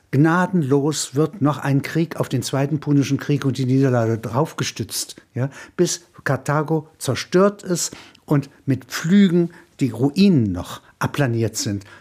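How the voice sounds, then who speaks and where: Older male author, husky voice, discursive, explaining his thoughts, reflective interview manner. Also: mid-range voice, a little mellow. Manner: discursive, explanatory, punctuated with short confirming tags. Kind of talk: reflective interview-style commentary.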